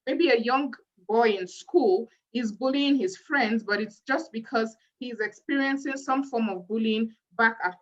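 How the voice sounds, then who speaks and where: A woman hosts an online youth session and reads chat questions to the group in a friendly tone. Her voice is a little high. The audio is dull, like a video call. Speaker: host.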